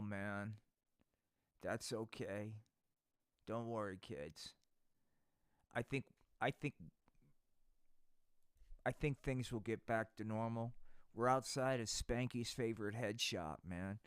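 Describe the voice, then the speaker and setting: A man's voice: on the low side, fairly even intonation, slow pace, pauses often, smooth, no room sound, clean studio sound. A man narrating an improvised live puppet show, rambling and crude, tossing in "man" often.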